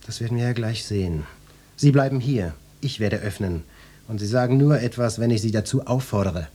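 Swaggering, low-voiced male police detective. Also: a little fast, dark tone. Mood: bossy, suspicious. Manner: gruff, bossy, pompous flirtatious address. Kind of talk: German radio drama acting, parodic hard-boiled cop.

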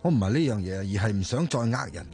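A man's voice: lowish voice, expressive, fast talker, darkish tone, soft background music. An actor with a Hong Kong Cantonese accent playing an honest, hesitant, deferential man in a radio drama. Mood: hesitant, uneasy. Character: honest, hesitant man fronting as company chairman.

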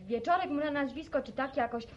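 A muffled, faintly noisy old radio-drama recording of a woman playing a young country woman. Her voice is high, and she talks plainly and a little hesitantly. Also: a little fast, lightly acted. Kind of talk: Polish radio serial acting.

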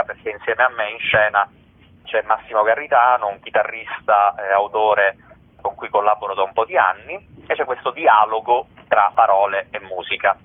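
A theatre man giving conversational, explanatory interview answers in a halting way. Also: lowish voice, slight background noise. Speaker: theatre actor-director, interview guest. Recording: narrow tinny phone line.

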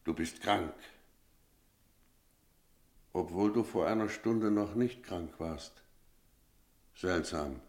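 Village innkeeper, deep, measured, reflective, literary radio drama, dry close sound. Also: male voice, darkish tone, dry recording, full character voice. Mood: puzzled, brusque. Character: sober village innkeeper telling an eerie tale. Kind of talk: radio drama narration and dialogue.

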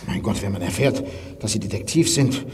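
An actor playing a fiery, scornful anarchist poet in a radio drama. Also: male voice, lowish voice, brisk, a little mellow, breathy edge. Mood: urgent.

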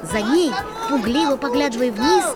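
A woman narrating a children's fairy-tale audio play in an expressive storybook style. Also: high-pitched, normal speed, over loud music.

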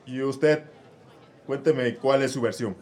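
A man's voice: medium pitch, steady tone, takes it slowly, darkish tone, natural voice. A man playing an exasperated middle-aged judge in a comic radio court sketch, with a Mexican Spanish accent. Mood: weary. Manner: gruff, weary and drawling.

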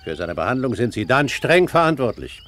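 Suave pirate captain, widely varied intonation. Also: male voice, mid-range voice, very animated, fairly bright tone. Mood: stern, threatening. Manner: polished, measured, wily. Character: suave, calculating pirate captain, great-uncle, coolly authoritative. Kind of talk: German radio play acting, 1970s.

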